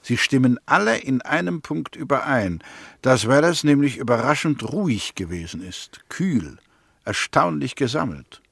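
A sober prosecutor in a 60s german radio drama, medium pitch, very dry room, formal and methodical, keeps probing. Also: male voice, very animated, a little slow, gravelly, fairly bright tone, breathy edge, no echo, exaggerated voice. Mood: firm. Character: sober, persistent male prosecutor, coolly probing. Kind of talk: 1960s German radio play acting.

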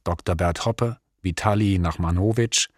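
A man reading scripted credits as a radio announcer in a measured, neutral way. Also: deep voice, no room sound, clean studio sound.

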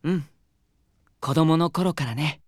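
A woman's voice: low voice, takes it slowly, a little mellow, no echo, clean studio sound. A woman voicing a proud, haughty boy who dreams of being an idol, in a Japanese audio drama with curt boyish speech. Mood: gruff, softened. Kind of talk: Japanese anime-style audio drama acting.

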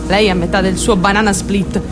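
A woman's voice: mid-range voice, level tone, a little fast, close to an everyday voice. An actress playing a troubled teenage girl who recounts her life in an intense, confiding dramatic radio monologue. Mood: chatty, eager. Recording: radio drama over background music. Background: music.

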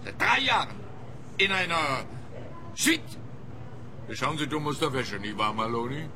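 An actor in a radio play playing a sardonic, condescending police investigator with a pompous, drawn-out delivery. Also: male voice, medium pitch, big pitch swings, pushed and bright, a little hiss or hum, exaggerated voice. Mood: smug needling. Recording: busy crowd ambience behind.

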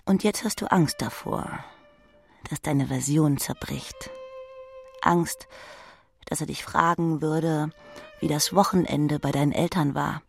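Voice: medium pitch, fairly even intonation, slow, vocal fry, pauses often, soft background music. A narrator reading literary prose in the second person, speaking intimately to the listener.

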